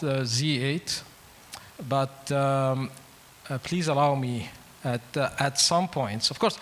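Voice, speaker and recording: A man with an Arabic accent, a native Arabic speaker, giving a product presentation as a company manager with deliberate, halting phrasing. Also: fairly high pitch, a bit bright. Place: large event hall. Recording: echoing large hall.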